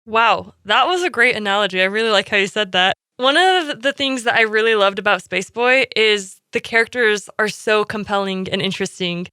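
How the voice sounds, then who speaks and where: A woman's voice: mid-range voice, fairly quick, few pauses, bright, forceful tone, clear, resonant tone, no echo, studio quality. A woman hosting a podcast, interviewing a guest in a conversational style.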